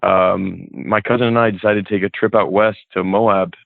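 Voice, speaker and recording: A young man with a Midwestern American accent, talking in a relaxed, easygoing way with lots of ums as a podcast guest, originally from Kuwait. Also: deep voice, moderate pace, gravelly, no room sound. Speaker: off-road club organizer, guest. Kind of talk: casual interview chat. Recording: thin phone-like remote line.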